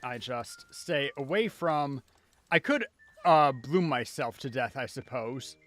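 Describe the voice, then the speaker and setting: A man's voice: medium pitch, expressive, slow pace, a bit bright, faint music behind. A man giving casual live commentary as he plays a video game, talking half to himself as a streamer. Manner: casual, muttering self-talk while playing.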